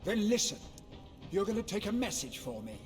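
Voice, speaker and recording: Commanding bounty hunter, swooping intonation. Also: male voice, high voice, very animated. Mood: threatening. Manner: curt, threatening, deliberate. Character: commanding, menacing male bounty hunter and gang-breaker. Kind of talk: video game cutscene acting. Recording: reverberant hall with music behind.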